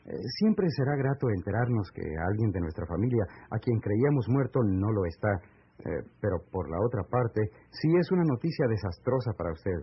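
Earnest, courteous Mexican bearer of grave news, radio serial, formal, deliberate, slightly rough voice, muffled vintage recording. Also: male voice, lowish voice, lively intonation. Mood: grave, explanatory.

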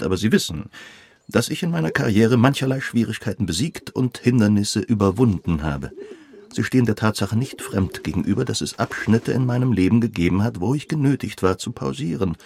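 An actor playing a pompous, impecunious middle-aged gentleman and florid optimist in a German radio drama, speaking in grand, winding sentences. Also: mid-range voice, lively intonation, a little fast, vocal fry, no echo. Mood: grandly self-important.

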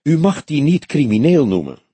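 A man's voice: a little high, big pitch swings, normal speed, warm tone, husky, no echo, voice pushed up. A man voicing a stout, wealthy crime suspect in his late forties in an audiobook, polite and self-assured. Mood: self-assured, defensive. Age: 40s.

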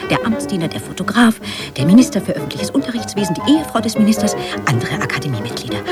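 Theatrical narrator reading stage directions, really animated up and down, loud music with it. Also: mid-range voice.

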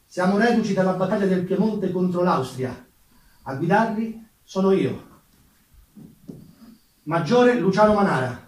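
A man's voice: high voice, lively intonation, unhurried, pauses often, a little mellow, slight background noise. A man playing a proud, defiant Lombard army major in an emphatic theatrical monologue. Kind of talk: theatrical first-person monologue. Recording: roomy stage sound, distant mic, music.

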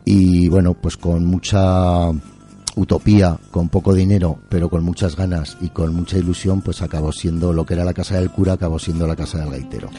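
A man's voice: low voice, steady tone, a little fast, dark tone, faint music behind. A middle-aged man with a Spanish accent from Aragon, telling his story colloquially and without hurry as a radio guest. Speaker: interview guest, artist and musician. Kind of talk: casual radio interview.

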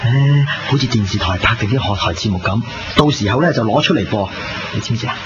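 Young man, male actor, gentle coaxing boyfriend, Hong Kong Cantonese, mid pitch, nineties radio drama, muffled with music underneath. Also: animated, quick pace, heavy background noise, close to an everyday voice. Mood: tender, reassuring. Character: young man teasing and reassuring his girlfriend. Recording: dull lo-fi radio recording with music.

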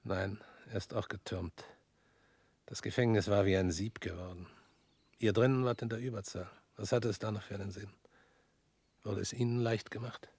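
An actor in a radio play playing a weary, guilt-laden former party official who talks in a measured, brooding way and often drops to a whisper. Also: male voice, fairly low pitch, fairly even intonation, a little mellow, slightly rough, dry recording.

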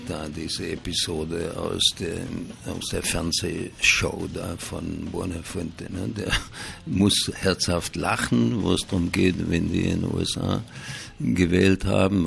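A man hosting a radio show with casual political commentary, speaking in a wry, ironic way with a gravelly, husky voice. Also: low voice, steady tone, slow pace, a little hiss or hum.

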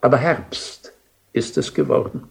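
An actor playing an urbane, contemplative bishop in a radio play, genial and musing in manner. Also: male voice, a little slow, lightly acted. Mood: lyrical, musing. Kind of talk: German radio play acting.

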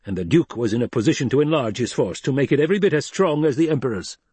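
A man's voice: medium pitch, animated, fast talker, darkish tone, breathy edge, no room sound, voice pushed up. A man voicing a shrewd, bony old adviser in an audiobook, incisive, probing and contemptuous. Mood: stern, insistent. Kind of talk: dramatized audiobook reading.

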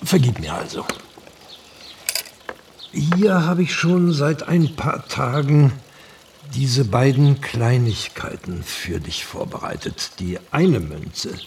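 Slow, gravelly frail old gentleman. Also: medium pitch, animated, far from the mic, slight background noise. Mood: courteous, solemn. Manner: slow, deliberate, formal, weighty. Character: frail, courteous, grave old Turkish gentleman, pious sage. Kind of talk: radio play acting.

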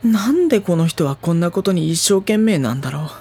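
A woman playing an exasperated, wry high-school boy in an audio drama, given to dry retorts and flustered protests. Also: animated, fairly quick, dark tone, slight background noise. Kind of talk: anime-style audio drama acting.

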